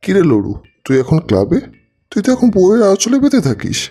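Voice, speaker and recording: Man playing broke cartoon slacker, rapid slangy wheedling, mellow tone, music behind. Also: high voice, huge pitch swings, breathy edge, exaggerated voice. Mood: teasing, wheedling. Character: broke, cajoling young slacker friend, foul-mouthed. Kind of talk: Bengali comedy cartoon voice acting.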